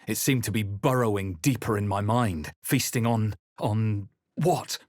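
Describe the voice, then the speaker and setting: Man acting a deep, brooding fantasy adventurer. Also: a little slow, no echo, clean studio sound, natural voice. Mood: grave, brooding. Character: male fantasy adventurer hero, grave and introspective. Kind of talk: scripted video game narration and character lines.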